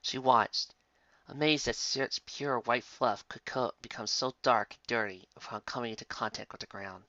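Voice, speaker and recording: Male narrator for dramatic fiction, bright forceful tone, dry slightly dull recording. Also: mid-range voice, moderate pace, no echo. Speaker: fan fiction reader. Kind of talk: dramatic scripted story narration.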